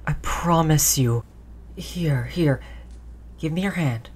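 A woman playing a gentle human who soothes their android companion in an intimate roleplay audio drama, tender and reassuring. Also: deep voice, takes it slowly, husky, full character voice. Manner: soothing, tender, hushed, repeating reassurances softly.

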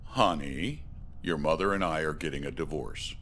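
A man's voice: rumbling low, moderate pace, fairly bright tone, a little hiss or hum. An actor playing a middle-aged American father in a visual novel game, meek and stammering or raging. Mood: meek, flustered. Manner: stammering when meek, bellowing when angry. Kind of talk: voiced visual novel acting.

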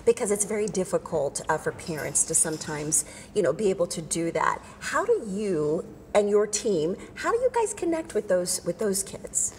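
A woman's voice: mid-range voice, big pitch swings, normal speed, few pauses, a little mellow, big-room sound, slight background noise. A middle-aged woman hosting a sponsored interview segment on a TV studio set, bubbly and enthusiastic. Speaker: TV lifestyle show host.

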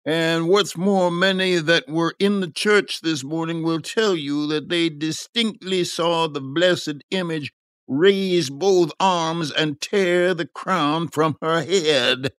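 A man's voice: on the high side, slow pace, a bit bright, clean tone, dry recording, clean studio sound, voice pushed up. A man voicing a gossipy, credulous, self-important townsman in an audiobook, earnest and insistent. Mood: earnest gossip. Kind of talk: audiobook character acting.

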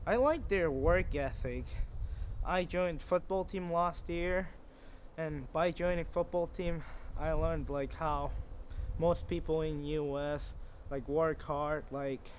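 A teenage boy from South Korea, an international student, talking frankly and jokingly in an outdoor interview. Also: a little high. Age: teens. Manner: frank and jokey. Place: outdoors on a lawn by woods. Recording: muffled, faint outdoor noise.

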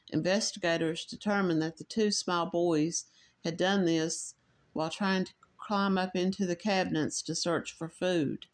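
Woman, Appalachian accent, lowish voice; true crime host reading case notes, measured and a little halting, with personal asides.

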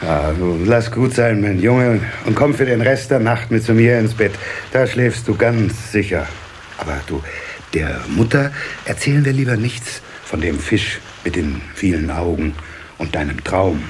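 An actor playing a gentle, soothing water-sprite father in a children's radio play. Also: lowish voice, animated, unhurried, a little hiss or hum. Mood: soothing, confiding. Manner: soothing, conspiratorial.

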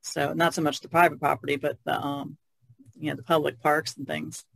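A woman's voice: low-pitched, level tone, fairly quick, vocal fry, no echo. A woman who paints, chatting casually as a guest on a podcast. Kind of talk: casual chat.

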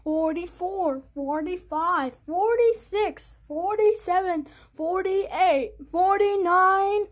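An amateur fan performer with an extremely high, childlike voice does a playful homemade cartoon dub. The delivery is slow and unhurried, counting through numbers aloud.

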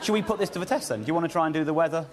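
A man in his thirties hosting a comedy panel show, chatty and quick to laugh as he prompts his guest. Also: on the high side, heavy background noise. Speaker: game show host. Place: TV studio with a live audience. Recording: loud studio audience behind.

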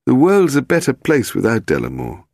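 High-pitched, fussy gent. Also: male voice, wildly swooping pitch, normal speed, darkish tone, no room sound, clean studio sound. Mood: aggrieved confession. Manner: plaintive, prim, protesting. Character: fussy, nervous, bald upper-class Englishman, indignant and wounded.

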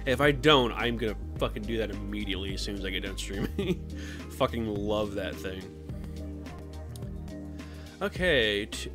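Animated streamer man with faint music. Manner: animated, jumps into high silly voices. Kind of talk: casual live-stream chat.

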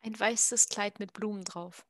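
A woman's voice: medium pitch, steady tone, measured pace, no echo. A woman playing a tabletop role-playing game over a video call, casually describing what her character does. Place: room at home, online video call.

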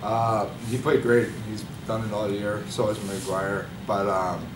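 A young man, a college hockey player, answering post-game press questions in a relaxed, halting way with many fillers. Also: on the low side. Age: young adult. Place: press room with backdrop. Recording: echoey room, far mic, loud hum.

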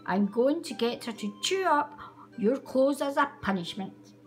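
A bossy little female sparrow from a storybook, acted out by a woman reader. She is hot-tempered and indignant, squawking her demands in a high voice that swoops around, over music. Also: lively intonation, a little slow.

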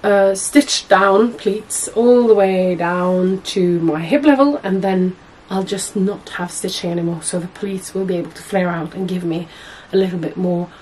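A young woman with a husky voice, hoarse from a cold, chatting to camera as a sewing vlogger in a small room at home, rambling and correcting herself often. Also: on the low side, unhurried, few pauses, a little mellow, slight background noise, rough recording. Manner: chatty, rambling, many fillers and self-corrections. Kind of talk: casual chat to camera.

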